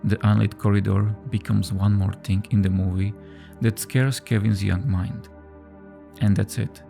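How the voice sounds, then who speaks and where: A man reading a scripted horror story as a narrator, in a measured, subdued storytelling manner. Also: fairly low pitch, fairly even intonation, vocal fry, mellow. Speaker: creepypasta narrator. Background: background music.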